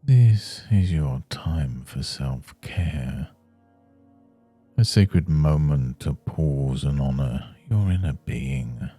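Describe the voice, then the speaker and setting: A man guiding a scripted meditation in a soothing, measured manner with long pauses between phrases. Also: very deep voice, expressive, mellow, slightly rough. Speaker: meditation guide. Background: faint music.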